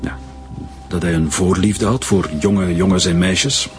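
Police chief, Flemish accent, lowish voice, brisk, formal, officious, radio drama, music behind. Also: male voice, fairly even intonation. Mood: probing, stern.

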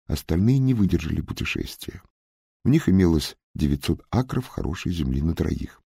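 A man voicing a young Russian-American who frankly recounts his hard family past in an unhurried audiobook narration with polite asides. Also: deep voice, very animated, vocal fry, mellow, slightly rough, no room sound, studio quality, full character voice. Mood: earnest.

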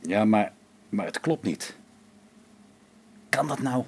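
An actor playing a sarcastic, exasperated space station engineer in a radio play, with a biting manner. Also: male voice, on the low side, expressive, slow pace, creaky, darkish tone, slightly rough, heavily acted. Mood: puzzled.

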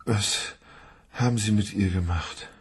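Radio drama: timid, shaken suspect, husky and whispery. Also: male voice, on the low side, exaggerated voice. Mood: anxious. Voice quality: halting, hushed, often whispering. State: sedated, in shock. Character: dazed, sedated man in shock, timid. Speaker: murder suspect in shock.

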